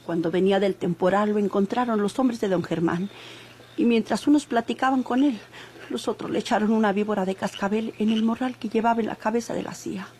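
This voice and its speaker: Actress as grave mexican country widow, old radionovela, a little noisy. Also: female voice, medium pitch, fairly quick, darkish tone, lightly acted. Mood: sombre, grieving. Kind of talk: Mexican golden-age radionovela acting.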